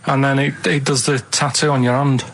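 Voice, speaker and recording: A man co-hosting a radio show, telling rambling anecdotes in a halting, matter-of-fact way. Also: mid-range voice, level tone, a bit bright. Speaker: radio show co-host telling odd stories.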